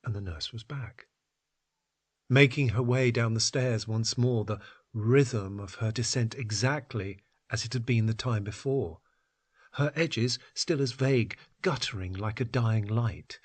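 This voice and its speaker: Husky man narrating dramatic fiction. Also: mid-range voice, unhurried. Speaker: audio story narrator. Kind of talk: dramatic literary story narration.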